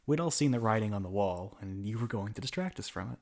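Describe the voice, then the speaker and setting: A man's voice: on the low side, animated, fast, gravelly, a little mellow. A man playing a bitter, mocking villain in an ASMR roleplay monologue, speaking theatrically with sardonic asides and often dropping toward a whisper. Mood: bitter, accusing. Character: bitter, mocking male villain revolutionary monologuing at a fallen hero. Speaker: voice actor in an audio roleplay.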